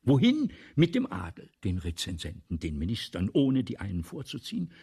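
An actor playing a vain, excitable playwright in a German radio play, with a pompous, theatrical, lecturing manner. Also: male voice, on the low side, moderate pace, gravelly, slightly rough, full character voice. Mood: tense, self-assured. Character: vain, excitable, self-dramatizing 19th-century male playwright.

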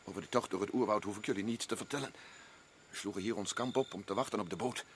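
A man's voice: fairly low pitch, quick pace, slight background noise, close to an everyday voice. A man playing a nervous, sick explorer in a radio play, talking in a pleading, shaken way. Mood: uneasy. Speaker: expedition member.

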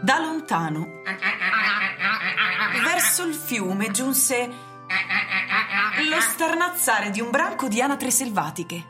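A woman's voice: fairly high pitch, big pitch swings, takes it slowly, pauses often. A woman narrator reading literary prose for a radio drama. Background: prominent music.

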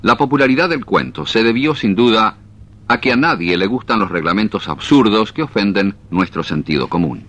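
A man's voice: fairly low pitch, expressive, normal speed, a bit bright. A man announcing a radio story series in a formal, scripted style.

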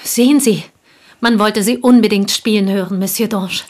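A woman's medium-pitched voice performed by an actress in a radio play, as a reserved provincial schoolteacher. She is polite and guarded, choosing her words in a measured, factual manner. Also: lightly acted. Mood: composed, guarded. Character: reserved village schoolteacher, loyal confidante of the dead wife. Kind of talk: German radio drama acting.

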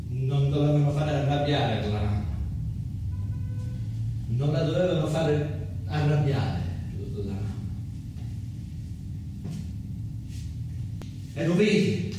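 A man acting a radio theatre monologue as a tormented small-time delinquent with frayed nerves, speaking in halting, repeated phrases with a southern Italian accent. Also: a little high. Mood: agitated, remorseful. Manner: halting, repetitive, broken phrases. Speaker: stage actor and monologist. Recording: echoey, distant, very noisy theatre-like recording.

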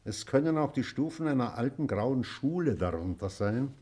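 An actor playing an old, authoritative schoolteacher with a measured, schoolmasterly manner in an acted drama. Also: male voice, medium pitch, expressive, mellow, breathy edge. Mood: measured authority. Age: older. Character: deliberate, authoritative old schoolteacher leading a class. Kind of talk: acted radio-play dialogue.

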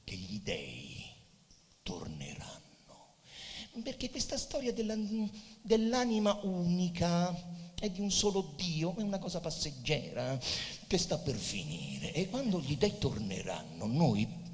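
A pensive poet confiding in a friend on stage, his fairly high voice moving in wide swings and falling into hushed, theatrical pauses. The hall rings around him with music behind. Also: wildly swooping pitch, a little hiss or hum, heavily acted. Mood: mysterious, prophetic. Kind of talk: literary stage dialogue with narrated passages.

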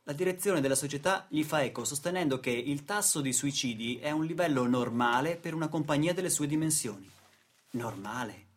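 A man's voice: on the high side, fairly quick. A man performing a scripted theatrical monologue for radio, in a rhetorical, declamatory manner.

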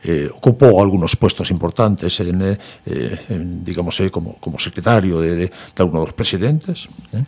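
Older man, 70s, from Madrid; lowish voice, interview guest, halting speech. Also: expressive, darkish tone, breathy edge. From Madrid, Spain. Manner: hesitant, many fillers and repeated words. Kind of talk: conversational testimony.

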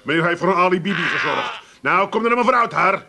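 An actor playing a streetwise, sardonic Amsterdam son with an insistent manner in a comic radio play. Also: male voice, sits high, expressive, brisk, a crowd in the background. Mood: mock menace.

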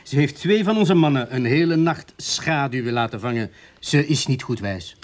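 A man's voice: medium pitch, expressive, moderate pace, lightly acted. A man playing an obedient police sergeant, a mocking underling, in a radio play. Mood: amused. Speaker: radio drama actor.

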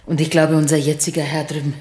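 A woman's voice: deep voice, flat delivery, fast, darkish tone, lightly acted. Actress as fretful, gossipy old mother. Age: older. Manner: fretful, rambling, colloquial. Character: anxious, gossipy, simple-hearted old widowed mother. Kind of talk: German radio play acting.

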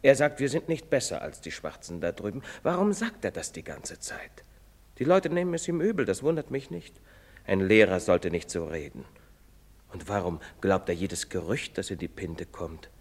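An actor playing a pious, fatherly village priest who admonishes gently in a preacherly way. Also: low-pitched, very animated, normal speed, slightly rough, exaggerated voice. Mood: admonishing. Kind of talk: stage play recording. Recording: long hall reverb.

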